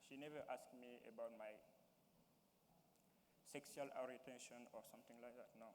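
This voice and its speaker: A young man from Mali with a Malian accent, giving halting personal testimony as a town hall panelist and searching for words in English. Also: mid-range voice, steady tone, a little mellow. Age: young adult. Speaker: youth panelist. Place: large hall. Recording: reverberant hall with faint noise.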